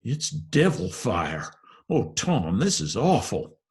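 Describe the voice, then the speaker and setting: A man's voice: on the low side, sing-song, slow, voice pushed up. A man voicing a superstitious, frightened young boy in a storybook, with rustic, ungrammatical drawling talk.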